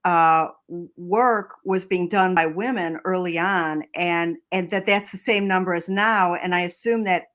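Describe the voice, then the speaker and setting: A woman interviewer putting questions conversationally, with tentative, pausing phrasing. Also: on the low side, steady tone, fairly bright tone.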